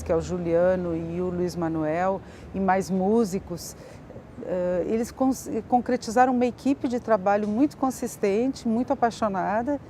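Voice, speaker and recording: A woman, a theatre supervisor reflecting in an interview in a hesitant, measured way. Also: lowish voice, dark tone. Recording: faint outdoor city noise.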